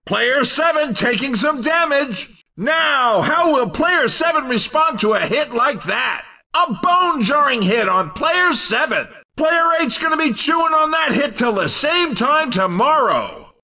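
An excitable, cheeky race commentator for a video game. His voice sits extremely high and rings out forcefully. He delivers each punchy exclamation unhurriedly, playfully calling the action. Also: far from the mic, full character voice.

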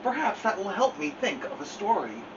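A man's voice: sits high, sing-song, moderate pace, dull audio, voice pushed up. A man voicing a fretful, earnest, bumbling toad in a storybook, exclaiming as he goes. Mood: thoughtful. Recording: distant mic with faint noise.

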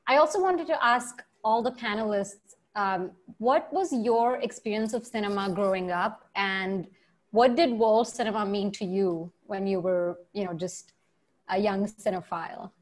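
A woman moderating a panel discussion over a video call, putting questions to the guests. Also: mid-range voice.

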